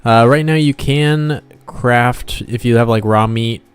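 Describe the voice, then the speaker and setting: A man streaming as a game developer and artist, chatting casually and muttering in a low-key way as he works. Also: unhurried, clean tone, no echo. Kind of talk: casual livestream chat while drawing.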